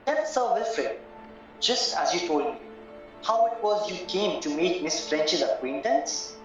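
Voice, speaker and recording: A woman's voice: on the low side, sing-song, unhurried. A woman playing a formal, earnest solicitor in an audio play, speaking precisely. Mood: grave, formal. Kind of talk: stage-style audio play acting. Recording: very echoey, distant, muffled, music behind.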